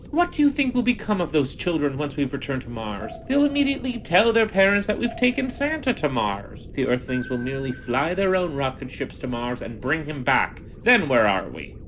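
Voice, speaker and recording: A woman's voice: sits low, highs cut off, slight background noise. A woman playing a sadistic, scornful Martian henchman in a comic audio drama, sarcastic and menacing.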